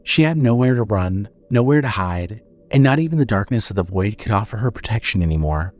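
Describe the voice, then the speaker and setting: A man narrating a scripted horror story as storyteller, measured and suspenseful. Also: fairly low pitch, animated, darkish tone, faint music behind.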